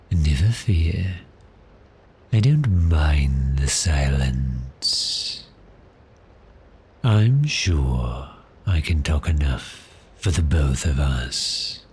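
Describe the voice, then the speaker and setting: A man's voice: rumbling low, a little mellow, slight background noise, exaggerated voice. A man playing a suave, predatory vampire in an ASMR roleplay, teasing and seductive with cheerful menace, his phrases trailing into breathiness. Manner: slow, deliberate, seductive, taunting. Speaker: audio roleplay performer.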